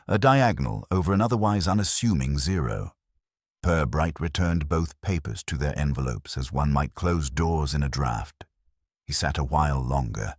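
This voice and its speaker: A man with an extremely deep voice narrates literary detective fiction. His delivery is formal, dry and measured, yet the intonation moves widely. The sound is close, with no room on it. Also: no room sound. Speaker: audiobook narrator.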